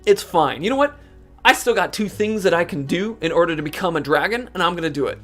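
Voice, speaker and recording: Narrator doing a small storybook pup, earnest and eager, lively pitch. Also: quick pace, soft background music, natural voice. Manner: earnest and hopeful. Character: small, determined, dreamy young dog.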